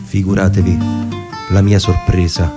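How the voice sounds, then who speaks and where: A man narrating a literary story for radio in a deliberate, theatrical way, with short measured phrases. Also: low-pitched, level tone, creaky. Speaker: narrator and performer. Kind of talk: dramatic literary reading for radio with music. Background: music with plucked guitar.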